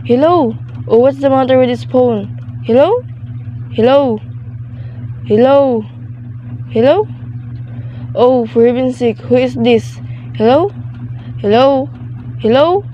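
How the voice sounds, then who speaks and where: A frantic, demanding bedridden wife, acted by a woman in a suspense radio drama. Her voice sits fairly high and is querulous and melodramatic. A faint noise runs behind it. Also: slow pace, pauses often, dull audio. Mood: irritated. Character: imperious, nervous bedridden invalid wife, frantic and self-pitying.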